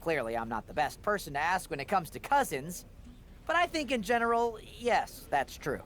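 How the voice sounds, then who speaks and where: Chatty male wrestling official, high animated pitch, wordy, awkward dry humour, video game dialogue. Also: moderate pace, slight background noise. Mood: earnest, wary. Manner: wordy, rambling, dryly joking.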